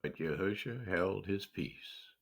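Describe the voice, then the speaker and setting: A man giving a solemn, deliberate and emphatic dramatic reading of scripture. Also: low-pitched, animated, creaky. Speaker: scripture reader.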